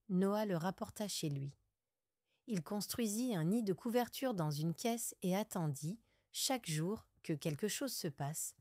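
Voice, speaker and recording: A woman's voice: on the low side, warm tone, dry recording, clean studio sound. A woman reading a scripted children's story as a storyteller.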